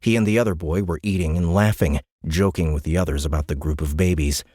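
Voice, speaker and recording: Deep-voiced male audiobook narrator, close and dry. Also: fast talker, dark tone, no room sound. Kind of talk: scripted dramatic narration of a sci-fi novel.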